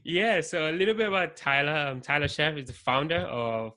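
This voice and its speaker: Man with a light, bright voice hosting. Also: fairly high pitch, lively intonation, fairly quick. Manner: friendly, filler-heavy questioning. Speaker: podcast host. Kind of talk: casual interview hosting.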